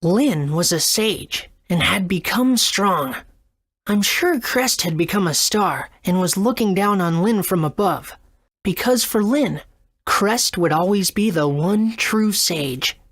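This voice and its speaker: A woman's voice: mid-range voice, expressive, slow pace, dry recording, clean studio sound. An actress voicing an earnest, kind and curious young boy hero in a video game. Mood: reflective.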